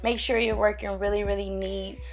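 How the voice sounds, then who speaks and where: A young woman, raspy from getting over a cold, chatting casually to her viewers as she explains braiding her own hair step by step, recorded close to a camera at home. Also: mid-range voice, flat delivery, normal speed. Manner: chatty, addresses viewers as guys and girl. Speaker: hair tutorial vlogger. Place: small room at home, indoors.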